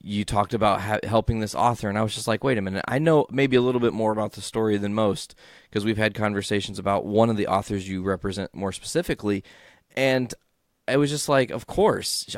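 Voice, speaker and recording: Man, podcast host, lowish voice, enthusiastic, dry close sound. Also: animated, quick pace, no echo. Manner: enthusiastic, encouraging interviewer. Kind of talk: casual interview chat.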